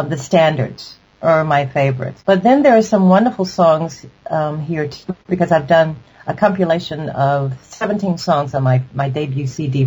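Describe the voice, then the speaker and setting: A woman's voice: low voice, a little mellow. A female singer being interviewed, talking about her music in a candid, reflective and thoughtful way, with a few ums and restarts. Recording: dull lo-fi broadcast sound.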